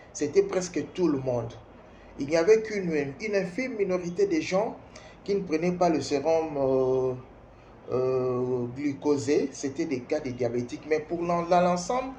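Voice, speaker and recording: A man's voice: on the high side, mellow. Congolese man in his fifties, roomy echo. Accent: Congolese (Kinshasa). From Kinshasa, DR Congo. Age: 50s. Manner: emphatic, repeats words and asks rhetorical questions. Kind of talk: spoken opinion piece addressing parents. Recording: roomy echo, faint background noise.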